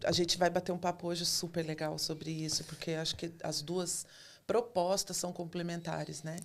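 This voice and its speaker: A middle-aged woman chatting casually as a podcast guest, telling stories in an expressive way. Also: on the low side, moderate pace. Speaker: podcast guest, hospitality company representative. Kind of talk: casual chat, storytelling.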